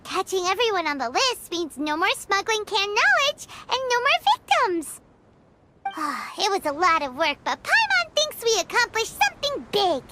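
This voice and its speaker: A woman voicing a tiny, excitable fairy companion girl in a video game, squeaky and childlike, with music behind her. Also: normal speed, heavy background noise. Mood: triumphant. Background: background music.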